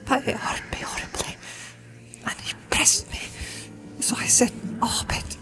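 A man's voice: music in the background, natural voice. Man acting a whispery, terrified young hobbit. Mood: anguished. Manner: stammering, halting, breathy and trembling. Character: frightened young male hobbit, small, shaken and distraught.

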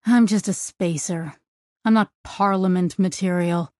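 Woman narrating tired male ship captain, self-doubting, slow, dry audiobook. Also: fairly low pitch, a little mellow, no echo, natural voice. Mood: weary. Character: weary male starship captain, reluctant and tired.

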